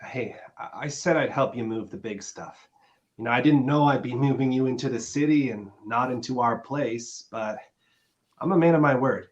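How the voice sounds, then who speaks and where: A man's voice: medium pitch, moderate pace, close to an everyday voice. A man acting an earnest, awkward, conventional boyfriend with a hesitant delivery, in a dramatic script reading. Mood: hurt, restrained. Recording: dull, lo-fi sound.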